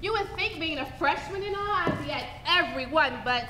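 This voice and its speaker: A woman's voice: extremely high pitch, expressive, fairly quick. A young woman playing a proud, sassy college dancer in a live stage play, with a teasing delivery. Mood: coy, polite. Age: young adult. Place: large theater stage. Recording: echoey noisy auditorium.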